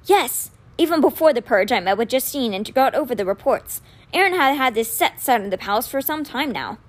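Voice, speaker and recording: A woman voicing a kindly, wise old man in an audiobook, patient and measured, with a gentle gravity. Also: on the high side, lively intonation. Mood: kind, regretful. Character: kindly, wise old male magician mentor, gently grave.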